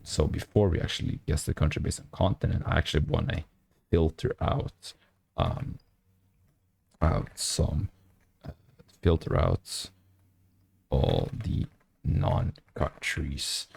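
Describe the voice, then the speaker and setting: A young man with a hoarse, nearly lost voice, mumbling through a late-night coding stream with many fillers. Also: a bass voice, takes it slowly, long pauses. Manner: speaks quietly to avoid disturbing neighbors. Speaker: programming streamer. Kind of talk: casual coding stream commentary. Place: small apartment room at night.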